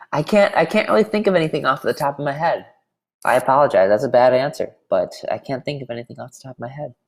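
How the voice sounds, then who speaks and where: A man from Wisconsin, a pro player, guesting on a podcast, relaxed and self-deprecating with frequent laughs. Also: medium pitch, a little fast, gravelly. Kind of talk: casual podcast interview.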